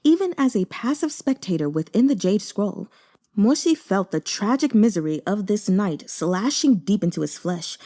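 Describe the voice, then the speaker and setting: Mellow, expressive woman reading a story. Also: mid-range voice, normal speed. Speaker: audiobook reader. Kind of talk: dramatic narration of a novel.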